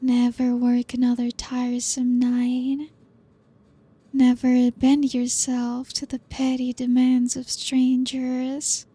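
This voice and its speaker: A woman playing a possessive female vampire who coaxes her guest in a soft, breathy ASMR roleplay. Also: sits high, completely flat delivery, heavily acted. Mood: seductive. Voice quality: soft breathy whispery delivery. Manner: slow, teasing, coaxing.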